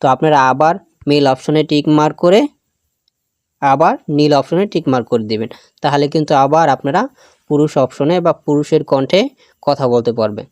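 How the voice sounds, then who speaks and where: A man's voice: fairly high pitch, a little mellow, no echo, studio quality. Male tech tutorial host addressing viewers. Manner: addresses viewers directly, repeats phrases. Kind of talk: spoken step-by-step app tutorial.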